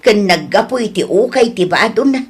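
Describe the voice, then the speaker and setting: A woman with an Ilocano accent acting a frank, scolding woman in a family audio drama, in a husky voice. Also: mid-range voice, animated, moderate pace. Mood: exasperated.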